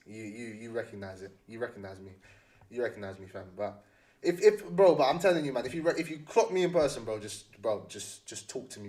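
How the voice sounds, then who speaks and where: A man's voice: mid-range voice, a little mellow. A young man with a London accent chatting casually to livestream viewers, slangy and often repeating phrases for emphasis.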